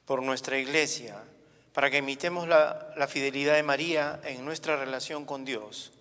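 Male church reader, formal prayer petitions, bright forward tone, long hall reverb. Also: medium pitch, steady tone, normal speed. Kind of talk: formal read-aloud prayer. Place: large church, indoors.

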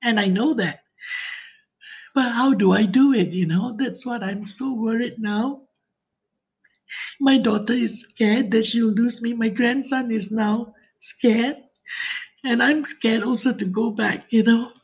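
A woman's voice: a little high, breathy edge. An older woman from Malaysia, leaving a worried, pleading voicemail. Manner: worried, pleading, rushed run-on telling. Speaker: voicemail caller. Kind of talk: phone voicemail. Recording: voicemail phone line.

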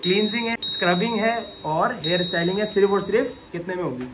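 High-pitched young Pakistani man vlogging. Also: fast, very noisy. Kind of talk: casual vlog talk to camera. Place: busy barber salon, indoors. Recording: busy salon chatter behind voice.

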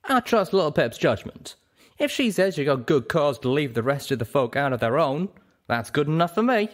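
A man's voice: on the high side, big pitch swings, moderate pace, voice pushed up. A man voicing a loyal male pegasus pony, folksy and blunt, in an audiobook. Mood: trusting, firm. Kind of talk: audiobook character voice.

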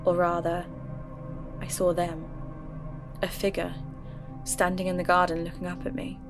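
A woman narrating her own investigation in first person for an audio drama podcast, in a measured, reflective storytelling manner. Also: on the low side, monotone. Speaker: narrator and host. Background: background music.

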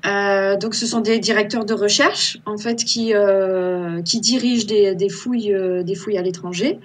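A woman's voice: medium pitch, steady tone, unhurried, husky. Hesitant woman on a video call. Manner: hesitant, many fillers and restarts. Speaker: archaeological illustrator, guest. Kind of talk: casual video-call interview. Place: small room at home. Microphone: laptop webcam on a video call.

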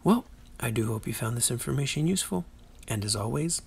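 A man's voice: mid-range voice, lively intonation, a little fast, gravelly, husky. A man giving a casual game walkthrough, chatty, playful and self-deprecating, as the host of a guide.

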